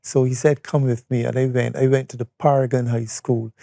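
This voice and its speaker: Barbadian man, seventies, creaky mellow voice, close dry studio sound, unhurried reminiscence. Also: medium pitch, level tone, dry recording. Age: 70s. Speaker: interview guest, retired or veteran educator. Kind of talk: conversational reminiscence.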